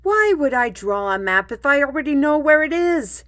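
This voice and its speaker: A woman's voice: sits high, lively intonation, normal speed, a bit bright. A woman playing a weary, wry artist in a fantasy audio drama, musing and conversational as she tells tales and explains plans. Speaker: voice actor in a fantasy audio roleplay. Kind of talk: in-character storytelling and dialogue in audio drama.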